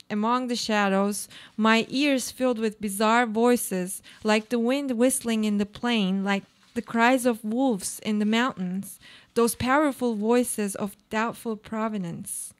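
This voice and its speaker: A woman's voice: on the high side, unhurried, close to an everyday voice. A woman playing an anguished, obsessive housebound woman in a live radio play, with a theatrical, brooding delivery. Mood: brooding, haunted.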